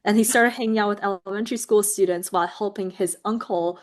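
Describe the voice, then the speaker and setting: Female guest from Taiwan, mid-pitched, relaxed filler-heavy storytelling, video call. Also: fast. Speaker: webinar guest. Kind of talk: casual conversation.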